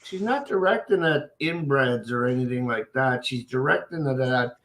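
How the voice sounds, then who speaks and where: Gravelly, bright-voiced man, drinking. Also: medium pitch, expressive. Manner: gruff, rambling, swears, drawn-out halting phrases. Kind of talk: casual chat.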